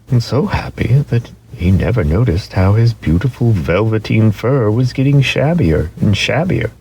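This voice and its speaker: A man with a deep voice narrates a storybook in a dramatic style. His delivery swings widely in pitch and lingers on key words, with some faint noise behind him. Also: creaky, a little mellow.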